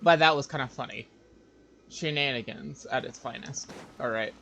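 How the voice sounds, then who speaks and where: A man's voice: mid-range voice, normal speed, vocal fry, sharp tone. A man giving casual, laid-back gameplay commentary on a live stream, with wry asides and game noise behind.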